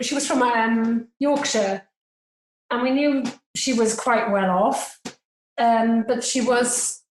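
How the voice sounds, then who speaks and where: A Welsh woman in her forties, a native Welsh speaker from Cardiff, chatting casually as a podcast guest in a self-deprecating way with lots of fillers. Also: on the high side. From Cardiff, Wales. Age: 40s. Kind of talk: casual interview chat. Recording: roomy echo.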